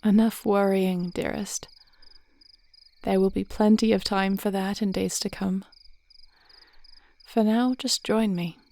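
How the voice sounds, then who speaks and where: Woman playing a warrior princess, mid-pitched voice with plenty of fry, measured formal speech, thoughtful, reassuring, intimate fantasy roleplay. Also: fairly even intonation, mellow. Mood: tender, relaxed. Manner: measured, articulate, formal old-fashioned phrasing. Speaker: audio roleplay performer.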